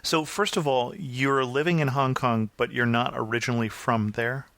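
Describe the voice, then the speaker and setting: Man, podcast host, bright forceful voice, dry close sound, presenter-style delivery. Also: mid-range voice, fairly even intonation, normal speed, dry recording. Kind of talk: scripted podcast narration and interviewing.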